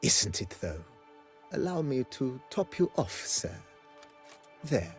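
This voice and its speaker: A deferential estate majordomo from a fantasy video game. His phrasing is formal and eloquent, and his mid-pitched voice moves animatedly. Music plays faintly underneath. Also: very animated, slow pace. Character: courteous, formal male estate majordomo, fond storyteller.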